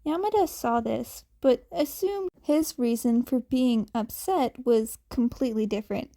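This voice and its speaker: A woman's voice: on the high side, a little slow, mellow. A woman reading a fan fiction story aloud as scripted audiobook narration. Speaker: podfic narrator.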